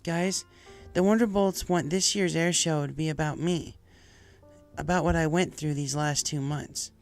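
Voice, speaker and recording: A man's voice: on the high side, steady tone, measured pace, vocal fry, faint music behind, pitched above the performer's own voice. A man voicing a brash, earnest young female pegasus pony in a story reading, casual and heartfelt. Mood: eager.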